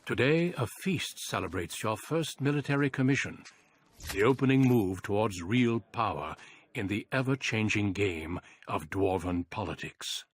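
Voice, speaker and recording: An actor playing a solemn warrior who narrates a fantasy game with a grave, measured, portentous delivery. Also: male voice, fairly low pitch, expressive, husky. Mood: measured, stately. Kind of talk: scripted cinematic game narration. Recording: loud music under the voice. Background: loud music.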